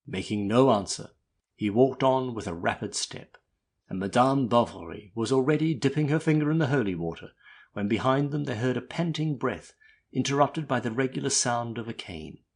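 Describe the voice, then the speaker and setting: A man narrating a classic novel as a dramatic audiobook, with a husky voice. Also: mid-range voice, animated, moderate pace.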